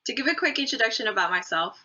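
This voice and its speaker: A young woman from Hong Kong, an awards finalist, giving an earnest, measured prepared speech. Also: fairly high pitch, animated, bright, forceful tone. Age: young adult. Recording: dull lo-fi audio.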